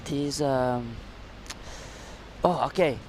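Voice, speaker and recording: A man's voice: medium pitch, very slow, a little mellow, a little hiss or hum. A man with an Indonesian accent co-commentating live surfing, playful and laughing, with loose, broken phrasing.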